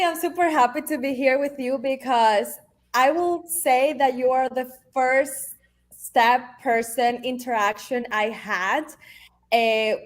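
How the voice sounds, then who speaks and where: A woman in her thirties with a Venezuelan Spanish accent, a native Spanish speaker, talking as a guest in a casual, upbeat interview, with many "honestly" and "um" fillers. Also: fairly high pitch. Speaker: guest, realtor.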